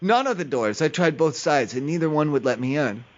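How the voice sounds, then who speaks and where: A man with a fairly high voice plays a prank caller on a muffled, lo-fi recording. He rambles and sounds puzzled, pressing on with question after question. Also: animated, fairly quick. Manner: feigned confusion, rambling, persistent questioning. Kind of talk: prank phone call.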